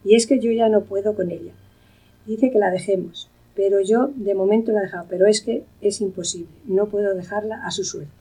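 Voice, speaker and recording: Lady acting a worried tired daughter, soft dark voice a bit off the mic. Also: mid-range voice, brisk, slightly rough, distant mic, close to an everyday voice, low-quality recording. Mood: worried, exasperated. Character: worried, weary adult daughter and young mother. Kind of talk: amateur radio play acting.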